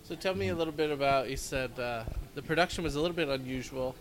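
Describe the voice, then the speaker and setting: Man, interviewer, middle pitch, prompting, encouraging, casual questions. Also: fast talker, slight background noise, low-quality recording.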